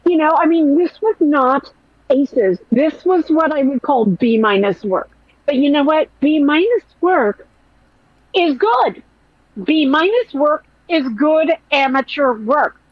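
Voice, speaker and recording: Woman podcast host, nasal and whiny, foul-mouthed and animated; dry, muffled lo-fi sound. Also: high-pitched, takes it slowly, no echo. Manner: blunt, profane, animated. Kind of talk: casual commentary chat.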